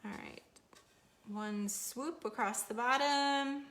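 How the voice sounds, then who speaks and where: A woman teaching a live paint-along lesson from her studio easel, chatty and encouraging, a little hoarse as she is losing her voice. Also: sits high, takes it slowly, fairly bright tone. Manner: chatty, encouraging, reads viewer comments aloud. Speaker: painting instructor. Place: home art studio at an easel.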